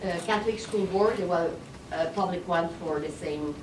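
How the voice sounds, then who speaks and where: A woman's voice: on the low side, normal speed. A woman whose first language is French, speaking with a French Canadian accent, giving a chatty conference presentation and searching for words now and then. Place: conference room. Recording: echoey hall, distant mic, audience noise.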